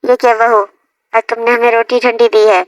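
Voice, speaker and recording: Woman as high-pitched bossy mother-in-law. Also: flat delivery, normal speed, dry recording, dull audio, close to an everyday voice. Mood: scolding. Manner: scolding, imperious. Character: arrogant, bossy older mother-in-law in a family cartoon.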